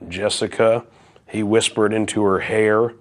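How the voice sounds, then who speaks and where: A man reading a romance passage aloud in a deliberate way. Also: fairly low pitch, level tone.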